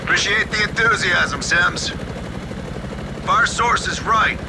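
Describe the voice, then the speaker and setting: High-pitched, commanding squad leader. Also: male voice, slow, gravelly, background noise, full character voice. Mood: cool, wry. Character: tough, cool-headed American covert operative leading a squad. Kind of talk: military video game voice acting.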